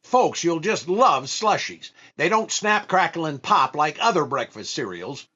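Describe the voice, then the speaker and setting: A man playing a droll, hesitant comedian and disc jockey sidekick in 1940s radio comedy, with a deliberate deadpan delivery and slightly muffled sound. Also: on the high side, slightly rough, full character voice. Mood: droll, selling.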